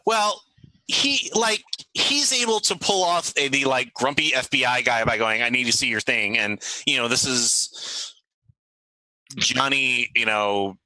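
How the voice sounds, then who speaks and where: A man acting as game master, improvising narration for a tabletop game in an informal, halting way. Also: medium pitch, expressive, sharp tone, no room sound, rough recording.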